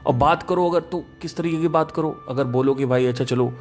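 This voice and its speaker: A man hosting a podcast, giving a casual spiritual talk in an emphatic, animated storytelling manner. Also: on the high side, brisk, soft background music. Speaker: podcast host.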